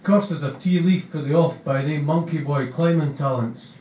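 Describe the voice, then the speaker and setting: A man reading aloud as a gentle young male junkie narrator with a Scottish accent, in broad rambling dialect. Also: fairly high pitch, moderate pace, slight background noise, lightly acted. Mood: wry. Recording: roomy, distant mic.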